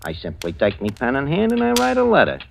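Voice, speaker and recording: An actor playing a shifty, wisecracking New York crook who talks in streetwise slang, in 1940s radio style. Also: male voice, mid-range voice, wildly swooping pitch, fast, vocal fry, a little hiss or hum, heavily acted. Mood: smug storytelling. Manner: streetwise slangy talk with bad grammar. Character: shifty, wisecracking American crook posing as a gentleman. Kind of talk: 1940s radio acting, first-person narration. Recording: old radio recording with music behind.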